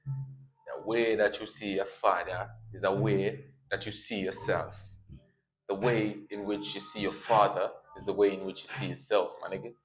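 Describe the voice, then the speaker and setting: Slangy young man with a low voice. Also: level tone, moderate pace, low-quality recording. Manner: slangy, streetwise, repeats phrases for emphasis. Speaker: YouTuber. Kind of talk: casual motivational vlog.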